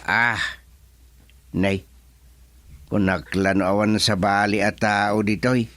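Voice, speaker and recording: A man's voice: fairly low pitch, fairly even intonation, slow pace, a bit bright, no echo. A man acting an elderly, folksy, easily flustered village chairman in an Ilocano radio comedy drama, muttering and humming with an Ilocano Filipino accent. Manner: muttering to himself with hums and exclamations.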